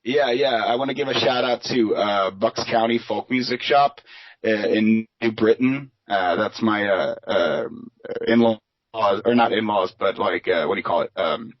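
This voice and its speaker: A man's voice: fairly low pitch, unhurried. A young adult man from Philadelphia, a musician guest chatting casually and rambling with lots of fillers and restarts. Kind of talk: casual interview chat. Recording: dull lo-fi remote audio.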